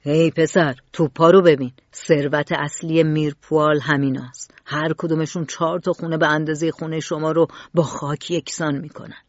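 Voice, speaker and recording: Lady doing a low loud grumpy factory boss guy, blunt and scolding, dull dry audio. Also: fairly even intonation, few pauses, no echo. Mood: gruff. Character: harsh gruff grown man, factory boss.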